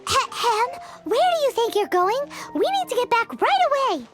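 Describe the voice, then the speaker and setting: A woman voicing a tiny, excitable childlike fairy companion in a video game, squeaky and chirpy. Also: normal speed, faint music behind, full character voice. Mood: bossy, relieved. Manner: chirpy, exclamatory, refers to self by name. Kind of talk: English video game voice acting.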